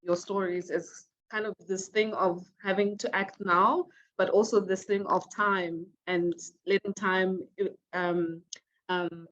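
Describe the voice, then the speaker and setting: A woman moderates a webinar over a video call, reflective and loose, with a few fillers. Her voice sits a little low. The call sound is slightly dull and lo-fi.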